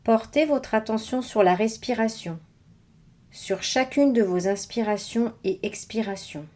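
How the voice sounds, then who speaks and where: A woman guiding a body scan meditation with measured, scripted instructions and pauses between phrases. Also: a little high, darkish tone. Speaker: meditation guide.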